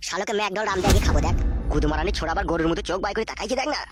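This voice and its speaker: Brief: rough, threatening village tough as a woman puts him on for a cartoon, rapid-fire delivery. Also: fairly low pitch, background noise, pitched below the performer's own voice, natural voice. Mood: mocking. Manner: crude, bullying, jeering. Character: rough male village thug, crude and threatening.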